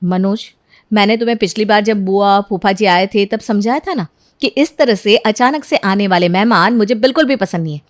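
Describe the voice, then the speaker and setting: A woman's voice: mid-range voice, moderate pace, dry recording, studio quality, natural voice. A woman playing a careless young housewife in a family story who justifies herself and argues. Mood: huffy, annoyed. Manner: self-justifying, argumentative. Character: careless, defensive young housewife daughter-in-law.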